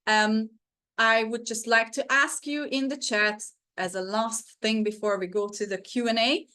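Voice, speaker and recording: A woman's voice: a little high, fairly even intonation, measured pace, bright, forceful tone, smooth. A woman whose first language is Hungarian, presenting a teaching webinar over a video call in an earnest, encouraging way with frequent fillers.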